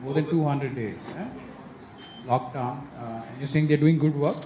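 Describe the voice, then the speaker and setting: A man's voice: mid-range voice, moderate pace. A male comedian doing teasing crowd work, questioning a spectator. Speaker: stand-up comedian. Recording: noisy room.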